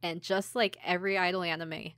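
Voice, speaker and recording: A woman co-hosting a podcast, casually retelling a story in an animated way with mock dialogue and giggles. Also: fairly low pitch, brisk, no room sound, studio quality. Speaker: podcast host and episode recapper. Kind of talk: casual chat, recapping an anime episode.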